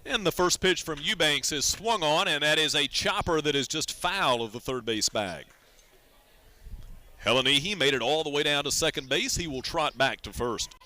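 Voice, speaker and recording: A man's voice: fairly high pitch, lively intonation, moderate pace, sharp tone. A man doing live radio baseball play-by-play, describing the action and chatting conversationally.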